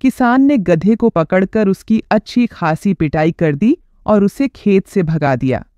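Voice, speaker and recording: A narrator telling a children's moral story in a lively storytelling way. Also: mid-range voice, a little slow, no echo, studio quality.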